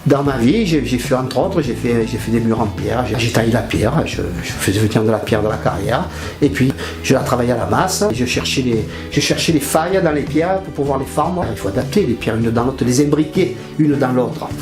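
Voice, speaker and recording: A man's voice: mid-range voice, a little fast. Older man, roomy studio. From France. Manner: hesitant, repeats words often. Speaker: painter. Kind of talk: casual interview in his studio. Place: painting studio. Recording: roomy studio with music over it. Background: guitar music.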